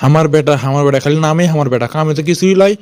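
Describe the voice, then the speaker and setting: A man's voice: fairly high pitch, rapid-fire, a loud crowd, natural voice. A man voicing an angry, blustering village father with a Bogura accent in a comic amateur cartoon dub. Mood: angry, complaining. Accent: Bogura, northern Bangladesh. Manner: scolding, blustering, full of threats. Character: angry, threatening village father scolding wife and son.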